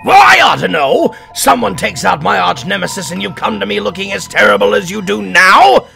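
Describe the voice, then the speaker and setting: A man playing a surly, blustering mad doctor villain in an audio drama, frightened but defiant, with a gravelly, theatrical voice. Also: high-pitched, lively intonation, normal speed, bright, forceful tone, faint music behind, full character voice. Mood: indignant. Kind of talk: audio drama character acting.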